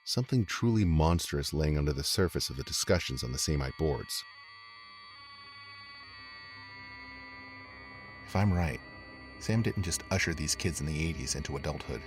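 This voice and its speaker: Brooding male investigator, low-pitched, measured and confiding horror-podcast narration, clear music underneath. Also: animated. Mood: grave, brooding. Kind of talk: scripted first-person horror podcast narration.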